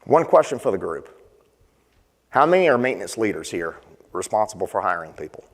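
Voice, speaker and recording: A man's voice: on the low side, big pitch swings, a little fast, slightly rough. A man leading a conference session, talking conversationally and putting questions to the audience. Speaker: session presenter. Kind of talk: conference panel talk. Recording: long hall reverb.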